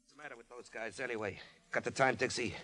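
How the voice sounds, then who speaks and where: An actor playing a bossy, edgy gang leader in an old radio crime drama, terse in manner. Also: male voice, mid-range voice, quick pace, bright, forceful tone, lightly acted. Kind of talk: 1940s radio crime acting.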